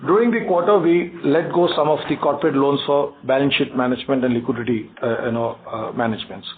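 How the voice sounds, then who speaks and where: A man with an Indian accent reads a prepared financial briefing as a bank executive, haltingly, stumbling over and repeating words. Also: medium pitch. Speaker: bank executive on an earnings call. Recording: tinny phone conference line, faint noise.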